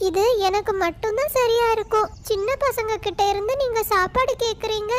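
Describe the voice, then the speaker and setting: A woman voicing a stubborn, cheeky little girl sparrow with a childish, defiant manner in an animated story. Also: extremely high pitch, moderate pace, pitched above the performer's own voice. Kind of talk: animated moral-story dialogue.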